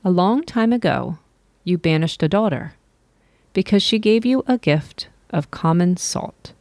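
A woman voicing a humble young princess disguised as a cook, calm and gently firm, in a storybook reading. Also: lowish voice, unhurried, no echo.

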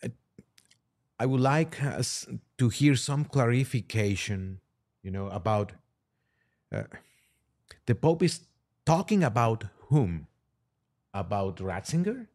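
A man speaking as a priest and podcast guest, halting as he discusses religion and poses questions. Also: medium pitch, very animated, darkish tone.

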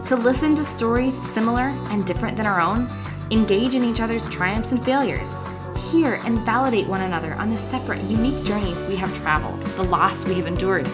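A woman's voice: a little high, fairly quick, over loud music. Upbeat woman podcast host. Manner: warm upbeat interviewer, lively rises. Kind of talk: scripted intro then casual interview.

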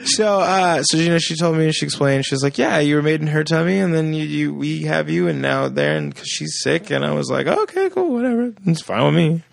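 Gravelly man telling lively stories. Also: a little high, a little fast, few pauses. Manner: animated storyteller who acts out quoted voices. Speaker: podcast guest, musician. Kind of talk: casual chat.

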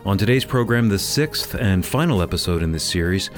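Male co-host announcer, lower-pitched and animated, scripted radio intro, faint music behind. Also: a little fast.

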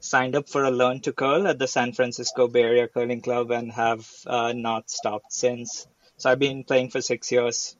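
A man from India introducing himself as an interview guest, measured and a little hesitant. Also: medium pitch, level tone, no room sound, muffled recording. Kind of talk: interview answer, self-introduction.

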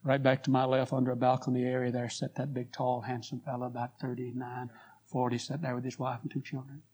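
A hoarse man preaching a revival sermon in a church, telling a story and building to fervent appeals. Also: mid-range voice, monotone, brisk, rough recording. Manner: rising to fervent pleas, then quiet prayer. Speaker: guest preacher. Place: church sanctuary.

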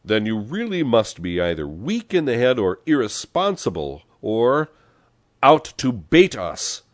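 A man voicing a big, hot-tempered communist boss in a story, gruff and jeering, given to emphatic outbursts. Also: low-pitched, moderate pace, no echo, natural voice. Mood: exasperated, bellowing.

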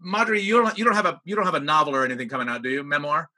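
A man's voice: on the high side, rapid-fire, bright, forceful tone. A man chatting casually as a podcast interviewer. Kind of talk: casual chat.

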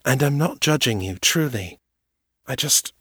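Tender undead woman friend, raised soft voice, says darling a lot, read by a male narrator. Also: mid-range voice, lively intonation, unhurried, breathy edge, dry recording, voice pushed up. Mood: worried. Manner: tender, calls people darling. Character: affectionate undead woman friend, gentle and devoted. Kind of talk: audiobook character voice.

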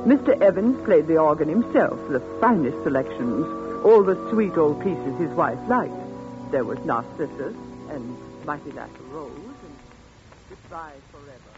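A woman's voice: low voice, expressive, moderate pace. A woman playing a gossipy, matter-of-fact small-town lady in a 1940s radio suspense drama. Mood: chatty, relishing. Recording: muffled 1940s radio, music behind.

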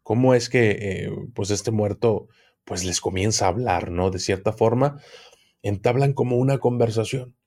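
A man, a guest expert on the occult, explaining things in a deliberate, unhurried way with frequent restarts. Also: on the low side, a little mellow. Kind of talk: explanatory conversational talk.

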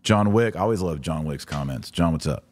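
A man's voice: rumbling low, expressive, a little fast, darkish tone. A man hosting a live podcast, reading listener comments and giving informal, opinionated, emphatic commentary. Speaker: podcast host.

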